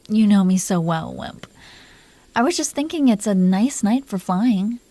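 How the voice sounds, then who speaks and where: Mellow, teasing dragon queen played by a woman. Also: mid-range voice, lively intonation, measured pace, no echo. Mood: intimate, teasing. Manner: teasing, coaxing, soft and intimate, dropping into whispers. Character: possessive, teasing, protective dragon queen, tender toward her captive. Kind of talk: intimate roleplay audio drama addressed to the listener.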